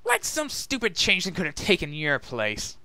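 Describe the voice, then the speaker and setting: A man voicing a brash, awkward young female pony with a blunt but halting manner, in a dramatic story reading. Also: sits high, wildly swooping pitch, pushed and bright, no echo, pitched above the performer's own voice, heavily acted. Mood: awkward, sheepish. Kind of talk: dramatic fan-fiction reading.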